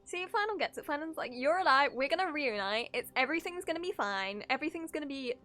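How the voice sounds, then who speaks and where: A woman livestreaming a game, reacting in an animated, giggly way. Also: high-pitched, fast. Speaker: streamer. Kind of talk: live game reaction commentary.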